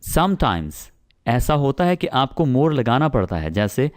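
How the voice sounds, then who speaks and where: A man with a Pakistani accent teaching spoken English, explaining patiently and repeating example sentences slowly. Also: medium pitch, sing-song.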